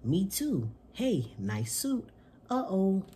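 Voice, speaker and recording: Storytime host: man, animated pitch swings, mellow tone, reading picture books to children. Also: takes it slowly, husky. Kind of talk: read-aloud children's picture book. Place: small indoor room at home.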